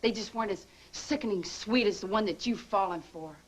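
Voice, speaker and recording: A woman's voice: mid-range voice, a little fast, husky, lightly acted, low-quality recording. A woman playing a bitter, scheming soap-opera lover. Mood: taunting. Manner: taunting, bitter, emotional. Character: bitter scheming woman, former nurse-accomplice, hurt and vengeful. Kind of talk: daytime soap drama acting.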